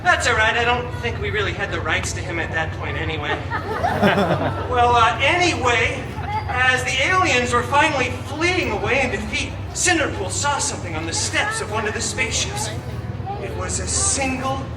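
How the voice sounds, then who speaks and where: A man's voice: extremely high pitch, moderate pace, distant mic, heavy background noise. A man playing a cheeky, vain antihero storyteller, theatrical and sarcastic, chatting to a live audience with comic asides. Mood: wry, narrating. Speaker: theme park character actor, storyteller. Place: live theme park show, far from mic. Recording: echoey park show, loud music and crowd.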